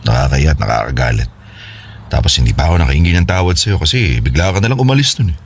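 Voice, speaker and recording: A man's voice: rumbling low, animated, fast talker, slight background noise. A male actor playing a kindhearted, apologetic man with a wounded air in a radio drama. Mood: remorseful.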